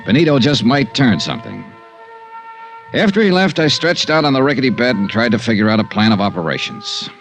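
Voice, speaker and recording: An actor playing a wry, world-weary American investigator, sardonic and hard-boiled, narrating and acting in an old-time radio drama. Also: male voice, fairly low pitch.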